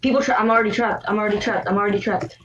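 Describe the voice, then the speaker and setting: A woman's voice: medium pitch, fairly even intonation, racing, noisy background. A young woman chatting casually while playing a game with a friend, chatty and self-mocking. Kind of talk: casual gaming chat. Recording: dull, slightly muffled voice chat.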